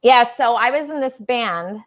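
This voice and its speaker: A woman's voice: a little high, lively intonation, measured pace, a bit bright. A woman hosting a casual livestream talk show, chatting with callers in a gushing, emphatic way.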